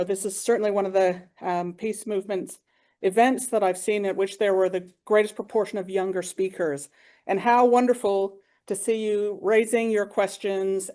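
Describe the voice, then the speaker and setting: A woman's voice: medium pitch, a little mellow. A woman facilitating a conference session, speaking informally and appreciatively as she offers closing reflections. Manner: appreciative, encouraging. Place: conference hall, live talk.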